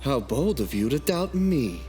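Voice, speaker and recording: Man as a cocky rogue fighter, menacing, insolent, medium pitch, expressive swings, dramatic fan dub. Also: unhurried, soft background music.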